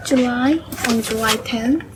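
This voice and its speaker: A nineteen-year-old woman from South Korea, an English student guest answering questions in simple, halting non-native English. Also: fairly high pitch, background noise. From born in South Korea. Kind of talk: casual interview answers.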